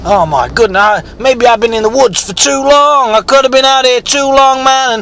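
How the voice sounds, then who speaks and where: A man voicing an eccentric, foul-mouthed rustic bigfoot hunter, husky with a sore throat, telling a halting, rambling, repetitive mock-documentary tale. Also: very high voice, fairly bright tone.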